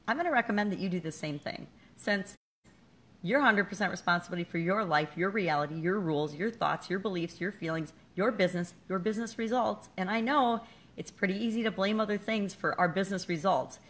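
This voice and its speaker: A woman's voice: medium pitch, fairly quick, fairly bright tone. A middle-aged woman giving a candid, self-deprecating motivational talk to her viewers. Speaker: business coach and vlogger. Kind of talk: casual motivational talk to camera.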